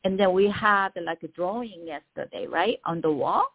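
A middle-aged woman with a Korean accent, a poet speaking as a guest in a casual interview, halting and searching for words. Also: mid-range voice, expressive, creaky, breathy edge. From South Korea. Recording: tinny phone line.

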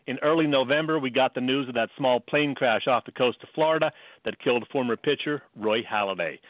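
A man's voice: medium pitch, no room sound. Middle-aged man, phone-like radio sound. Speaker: sports radio co-host. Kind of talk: sports talk with scripted commentary segments.

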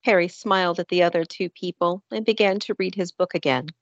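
A woman's voice: fairly low pitch, a little fast, no echo, muffled recording. A woman reading scripted narration for a radio play.

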